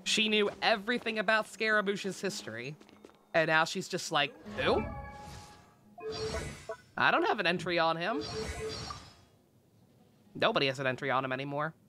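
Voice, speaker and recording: A woman's voice: on the low side, big pitch swings, moderate pace, pauses often. A woman game streamer giving casual, sweary live commentary in a sarcastic, ranting manner. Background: game music.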